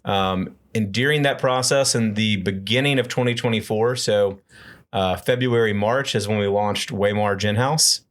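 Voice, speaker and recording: Lower-voiced man from Memphis, interview guest, calm and businesslike. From Memphis, Tennessee. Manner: measured and businesslike. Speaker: guest, company founder. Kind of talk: interview answers.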